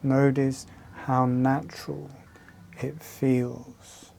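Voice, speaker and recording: A man leading a guided breathing and relaxation exercise, speaking calmly and soothingly in short phrases with long pauses. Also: medium pitch, gravelly, slight background noise.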